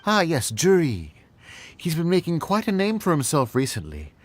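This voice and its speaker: Genial fishmonger with wildly swooping intonation. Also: male voice, on the high side, very noisy. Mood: musing. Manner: musing, unhurried, expressive. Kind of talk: video game voice acting.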